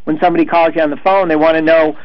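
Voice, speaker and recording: A man's voice: a little high, little pitch movement, racing, highs cut off. A man as an attorney guest on a radio show, giving confident, matter-of-fact advice.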